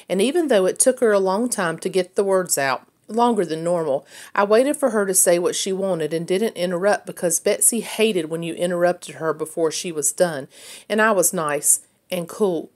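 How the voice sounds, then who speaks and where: A woman reading a children's book aloud in an expressive storytelling style. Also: lowish voice, moderate pace. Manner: acts out dialogue as a child narrator's story. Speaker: read-aloud reader.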